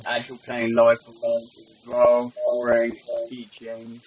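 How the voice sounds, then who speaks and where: A man's voice: mid-range voice, monotone, unhurried, noisy background. A man hosting a podcast, asking questions casually and with curiosity. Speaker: podcast host.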